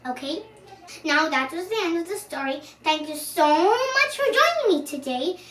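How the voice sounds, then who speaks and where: A young girl reading a children's storybook aloud in an animated, expressive way, now and then stumbling over words. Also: extremely high pitch, normal speed. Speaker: storyteller, channel host. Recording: roomy, distant microphone, faint background noise.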